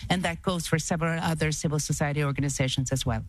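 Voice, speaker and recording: A woman's voice: low-pitched, fast talker, a little hiss or hum. A woman speaking as an executive on a panel, giving an organized but unscripted answer. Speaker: development bank executive on a panel.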